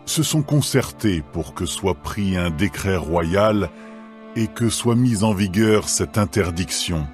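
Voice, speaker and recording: A man's voice: lowish voice, a little mellow. A man playing a formal, deferential royal official addressing his king in a solemn, ceremonious way in a dramatised scripture reading. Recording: background music.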